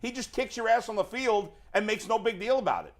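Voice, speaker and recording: A man's voice: high voice, animated, brisk. A man on a sports panel giving casual, plain-spoken, colloquial commentary.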